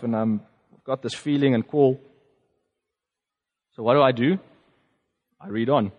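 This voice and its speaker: A man with a South African accent, a native Afrikaans speaker, giving a reflective personal testimony as a preacher, conversational and halting with fillers. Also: mid-range voice, a little mellow, in a large hall.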